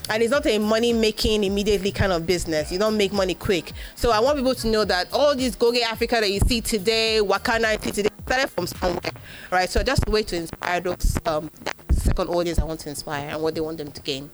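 A lively Nigerian woman whose first language is Igbo, answering interview questions with energy. She breaks into acted-out quotes and uses lots of "um" and "like". Her voice sits in a middle range, with faint music behind her. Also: medium pitch, quick pace. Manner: animated storyteller, acts out quoted voices, frequent fillers. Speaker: podcast host being interviewed. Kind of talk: live panel interview.

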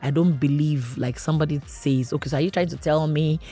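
Loud-voiced Nigerian woman, interview guest. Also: low-pitched, fairly quick, slightly rough, soft background music. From Nigeria, Cross River. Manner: earnest, speaks of faith, loud carrying voice. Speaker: interview guest, industry veteran. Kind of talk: conversational interview.

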